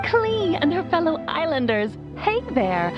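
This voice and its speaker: A woman's voice: very high voice, sing-song, unhurried, muffled recording, full character voice. A woman playing a theatrical, teasing, doting sorceress mother in a video game. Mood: teasing, breezy. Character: playful, theatrical, doting sorceress mother speaking through a phonograph. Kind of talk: animated video game dialogue. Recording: music behind the voice.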